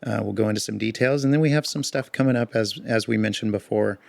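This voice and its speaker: Man, podcast co-host, hoarse, deep-set gravelly voice, relaxed rambling chat, dry sound. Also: fast talker, no echo. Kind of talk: casual chat.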